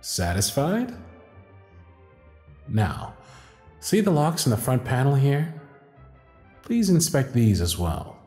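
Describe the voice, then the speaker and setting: A male actor plays a confident, playful stage magician in an ASMR audio drama. His mid-pitched voice moves with lively swoops through grand showman patter, in a roomy echo. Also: takes it slowly, pauses often, soft background music. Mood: charming. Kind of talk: scripted ASMR audio roleplay.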